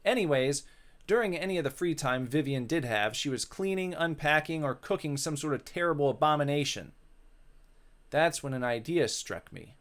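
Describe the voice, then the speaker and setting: A man's voice: fairly high pitch, animated, normal speed, fairly bright tone. A man voicing a mischievous, vain, lecherous male ghost in a theatrical, exclamatory way for a visual novel. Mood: lecherous, gleeful.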